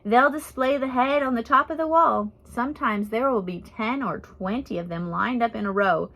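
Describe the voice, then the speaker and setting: A woman voicing a gruff, calm missionary woman in her seventies in a storybook, lecturing matter-of-factly. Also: a little high, normal speed, poor audio. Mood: calm, stern. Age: 70s. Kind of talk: storybook character reading.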